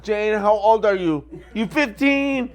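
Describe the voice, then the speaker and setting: A woman's voice: medium pitch, slow, heavy background noise. A woman in her forties chatting casually as a podcast co-host, blunt and cheeky. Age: 40s. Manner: blunt, cheeky, swears freely. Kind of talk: casual chat.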